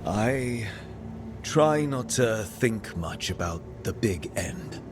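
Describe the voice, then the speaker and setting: An actor playing a laid-back, sympathetic young mage adventurer in a video game, talking in a casual, understated way. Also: male voice, lowish voice, animated, takes it slowly, noisy background. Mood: gentle, curious.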